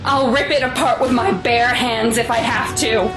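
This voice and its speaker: A woman's voice: on the high side, steady tone, normal speed, over music, close to an everyday voice. A woman acting a stubborn, fiercely protective mother in an audio drama, emotional and insistent. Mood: defiant.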